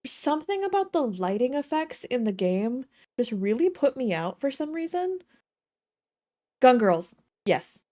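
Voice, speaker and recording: A woman's voice: high voice, big pitch swings, measured pace, warm tone. A woman livestreaming a game with casual, slangy, self-deprecating commentary and jokes. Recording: game music playing behind voice.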